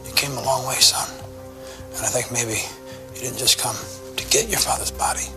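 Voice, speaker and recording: A man's voice: mid-range voice, steady tone, moderate pace, natural voice. Terse police lieutenant, played by a man, music behind. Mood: calm, gentle. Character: male police lieutenant, terse, quietly compassionate. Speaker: actor in a police drama.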